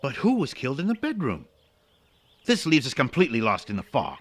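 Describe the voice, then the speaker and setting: A man playing an eager, inquisitive gentleman in a detective radio drama, earnest and full of questions. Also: medium pitch, wildly swooping pitch, fairly quick. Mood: excited, puzzled. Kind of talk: old-time detective radio drama acting.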